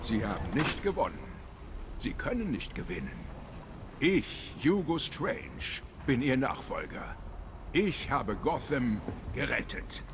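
An actor playing a cold, self-assured male psychiatrist villain with a measured, lofty delivery. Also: medium pitch, very animated, background noise. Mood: arrogant. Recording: game audio over loud music.

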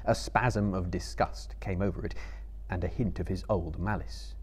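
Low, husky male storyteller. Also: steady tone, moderate pace. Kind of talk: dramatic literary narration. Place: book-lined room before a small live audience.

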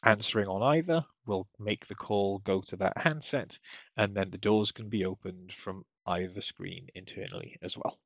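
A man with a fairly low, husky voice walks through software setup as a tutorial presenter. He explains each step methodically. The voice-over sounds slightly muffled. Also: normal speed, no echo. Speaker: technical support tutorial presenter.

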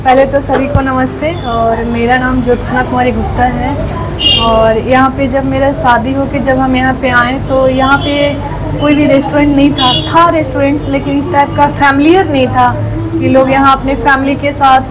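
High, even-toned woman owner. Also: normal speed, background noise. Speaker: restaurant owner. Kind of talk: interview answers.

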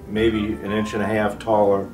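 A man in his sixties explaining products off the cuff as an exhibitor, halting with frequent pauses. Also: on the low side, completely flat delivery, darkish tone, soft background music, low-quality recording. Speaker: loudspeaker exhibitor. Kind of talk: unscripted product walk-through in an interview. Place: carpeted hotel room at an audio show.

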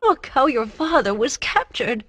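Sweet fretful village lady in a cartoon game, high n swoopy, melodramatic. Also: very animated, a little slow, fairly bright tone, exaggerated voice. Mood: dismayed. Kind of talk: cartoon video game cutscene acting.

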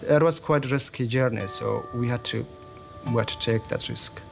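A man in his thirties from Afghanistan recounting his journey in a documentary interview, plain and thoughtful with pauses. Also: medium pitch, creaky, over music. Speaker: interviewee, refugee. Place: studio interview set, indoors.

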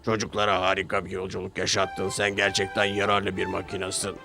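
Stout male controller for a children's storybook, done by a man; deep voice, formal, commanding, stern yet kind. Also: monotone, brisk, vocal fry, music in the background. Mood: pleased. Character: stout, authoritative male railway controller, stern but kindly. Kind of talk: children's cartoon storybook character voice.

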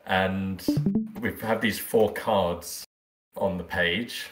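A man's voice: low voice, steady tone, extremely slow, a bit bright, reverberant, soft background music. A man giving an explanatory presentation over an online call. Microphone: online call with screen share.

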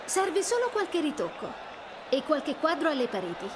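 A woman playing a hopeful, hardworking, kind young restaurateur in an animated game dub. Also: high-pitched, moderate pace, background noise. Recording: crowd and street ambience behind.

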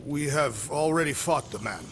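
Solemn, literal alien warrior. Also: male voice, a little high, normal speed, noisy background. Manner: formal, deadpan, literal. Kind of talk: video game voice acting.

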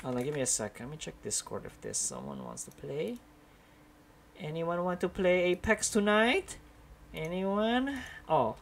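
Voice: medium pitch, sing-song, a little slow, gravelly, a little hiss or hum. A young adult streamer from Indonesia with an American English accent, chatting casually with viewers while playing, laughing often and correcting themself. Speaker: game streamer.

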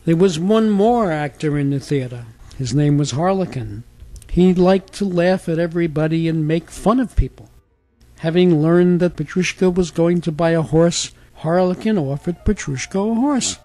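A man's voice: on the high side, moderate pace, mellow, faint music behind. A man reading a scripted fairy tale as a storyteller.

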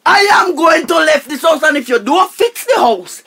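An actor playing a pompous, tight-fisted Jamaican householder in a radio family drama, scolding emphatically and repeating himself. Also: male voice, very high voice, expressive, moderate pace, vocal fry, pushed and bright, heavily acted. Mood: outraged. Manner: emphatic, declamatory, repetitive scolding.

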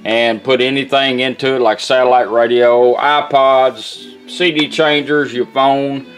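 A man's voice: mid-range voice, flat delivery, a little slow, fairly bright tone, smooth. A middle-aged or older man talks in a folksy, plain-spoken way, casually demonstrating a product hands-on. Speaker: vintage car radio restorer and product demonstrator. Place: indoors at a workbench. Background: music and radio playing.

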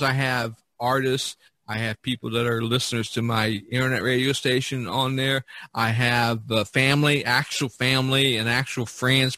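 A man's voice: mid-range voice, bright, forceful tone, dry recording. A man hosting a podcast, talking in a casual, rambling, conversational way. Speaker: podcast host.

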